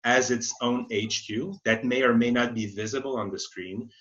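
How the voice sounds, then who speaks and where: A man's voice: mid-range voice, fairly even intonation, fairly bright tone. A man explains material as an expert webinar presenter, feeling his way through sentences and often rewording them. His voice comes through a slightly muffled, lo-fi online connection. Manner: halting, rephrases mid-sentence. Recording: slightly dull, lo-fi webinar audio.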